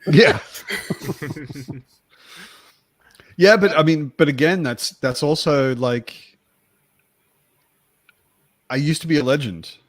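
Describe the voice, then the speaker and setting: A man's voice: fairly high pitch, takes it slowly, pauses often, no room sound. A man hosting a casual book discussion.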